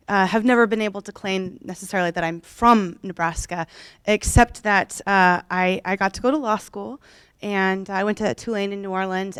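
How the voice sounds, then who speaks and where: A woman in her thirties from Oklahoma, speaking as a guest lecturer at a podium microphone in a lecture room, warm and personal with frequent restarts. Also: mid-range voice, clear, resonant tone, no room sound. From Oklahoma, United States. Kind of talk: public lecture. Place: lecture room with a painting behind.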